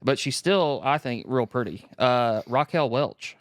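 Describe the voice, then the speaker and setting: A man's voice: medium pitch, unhurried, no room sound. A man co-hosting a podcast in an easygoing, casual, self-deprecating chat. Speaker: podcast co-host.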